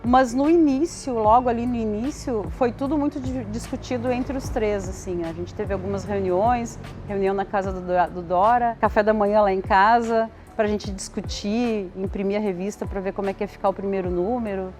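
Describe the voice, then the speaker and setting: A woman's voice: medium pitch, fairly even intonation, normal speed, few pauses, a little mellow. A middle-aged woman with a southern Brazilian gaúcho accent, chatting informally in a documentary interview. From Rio Grande do Sul, southern Brazil. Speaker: interviewee, schoolteacher. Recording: faint music behind the voice.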